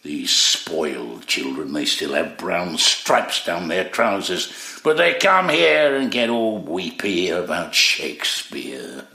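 An actor playing a gruff, scornful older working-class theatre caretaker in an audio drama, with a gravelly voice. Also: male voice, low voice, big pitch swings, slow, few pauses, pushed and bright, exaggerated voice. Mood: scornful, grumbling. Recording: echoey room, faint music.

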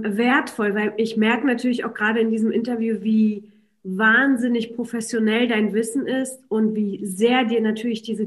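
A woman hosting an interview, summing up and praising her guest's work. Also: a little high. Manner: warmly summarizing and praising the guest. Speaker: podcast host, interviewer. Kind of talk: casual interview talk.

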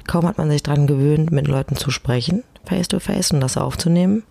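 A woman's voice: sits low, brisk, vocal fry, warm tone, husky, no echo. A woman casually hosting a podcast alone in speech, chatty, informal and self-deprecating, speaking close to the microphone at home. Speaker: podcast host. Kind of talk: casual solo podcast talk. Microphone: close personal microphone.

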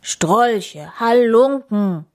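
Woman doing a gruff grumbling storybook bear. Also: low voice. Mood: angry. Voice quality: gruff, growly. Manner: grumbling, slow, forceful. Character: big grumpy gruff male bear, soft at heart.

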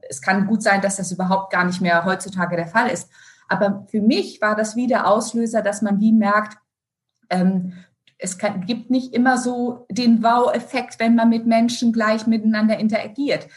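A woman's voice: mid-range voice, steady tone, normal speed. A woman from Brazil, a finance executive, answering interview questions in a thoughtful way, with many fillers and restarts and some English words mixed in.

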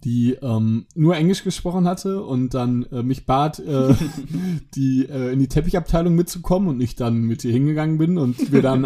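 Man, fairly high voice, casual chat, rambling, self-interrupting storyteller. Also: mellow. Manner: rambling, self-interrupting, laughs at own stories.

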